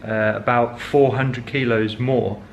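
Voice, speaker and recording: A man's voice: mid-range voice, fairly even intonation, slow pace, muffled recording. Young man, rambling garage vlog talk, lots of ums, faint background hiss. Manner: rambling, many ums, self-correcting. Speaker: car vlogger.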